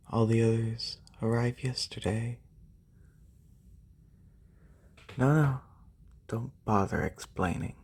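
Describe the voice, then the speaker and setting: Guy doing a snooty rich lamia noble, soft asmr roleplay, creaky mellow voice, talks down to you. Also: medium pitch, heavily acted. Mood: stern, haughty. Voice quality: breathy, husky. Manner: condescending, formal, drawn-out delivery. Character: haughty wealthy lamia noble, demanding serpent-bodied employer. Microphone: close binaural microphone.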